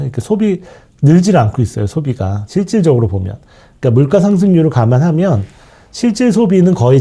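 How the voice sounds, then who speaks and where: Man, sore throat from a cold, husky and very dark tone, lively up-and-down pitch, explaining like a lecturer. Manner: explanatory, leans on fillers and frequent trailing asides. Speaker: fund manager lecturing on markets. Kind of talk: market outlook lecture.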